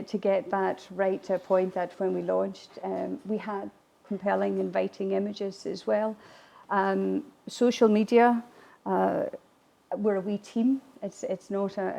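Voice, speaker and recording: A woman whose first language is Irish Gaelic, answering interview questions in a reflective way with hesitant pauses mid-phrase. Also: mid-range voice, vocal fry, dark tone. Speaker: whisky distillery visitor attraction manager. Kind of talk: interview, recounting a business launch.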